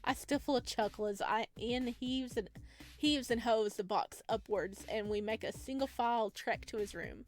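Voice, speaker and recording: A woman with a fairly high voice hosts a let's play, reading narration aloud. She breaks into expressive, casual commentary as she goes. Soft music plays behind her. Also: normal speed. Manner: expressive, chatty asides.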